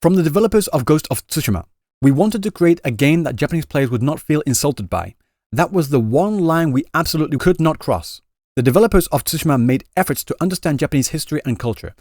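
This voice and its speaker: A man talking quickly and chattily in a commentary vlog, reading out translated comments and restarting phrases. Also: fairly high pitch, expressive, a little mellow, dry recording, studio quality. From Britain. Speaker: YouTuber explaining Japanese reactions.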